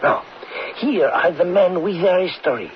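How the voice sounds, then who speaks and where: A French counterintelligence major in a vintage radio drama. His voice sits fairly high and sounds polite yet hurried, repeating words with mild impatience. The old recording is dull and clearly noisy. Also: expressive. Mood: anxious.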